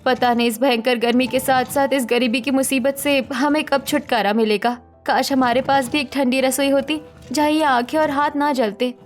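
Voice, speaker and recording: High-pitched, plaintive village daughter-in-law, acted by a woman. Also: fairly even intonation, normal speed, hardly any pauses, soft background music, close to an everyday voice. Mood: lamenting. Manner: plaintive, earnest. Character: poor, dutiful, long-suffering village daughter-in-law.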